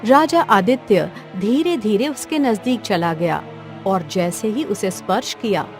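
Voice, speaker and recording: A woman's voice: mid-range voice, expressive, a little slow, faint music behind. Woman narrating a storybook tale. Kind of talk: scripted cartoon story narration.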